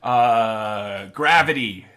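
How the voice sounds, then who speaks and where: A man's voice: medium pitch, very slow, sharp tone. An actor playing a fussy radio director who stammers and restarts as he tries to keep the peace. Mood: placating. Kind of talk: audio drama acting.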